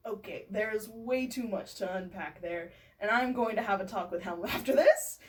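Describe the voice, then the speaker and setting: A woman's voice: medium pitch, normal speed, recorded from a distance, close to an everyday voice. A woman voicing an irritated, blunt little male angel in a comic fantasy reading. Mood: exasperated. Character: exasperated little bald male angel, gruff and sarcastic.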